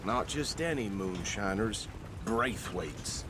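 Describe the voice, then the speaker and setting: An actor playing an earnest, self-important small-town deputy in a western game, folksy and talkative with a Southern accent. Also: male voice, fairly low pitch, lively intonation, slow. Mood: chatty. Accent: American South. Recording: clear outdoor noise, animals.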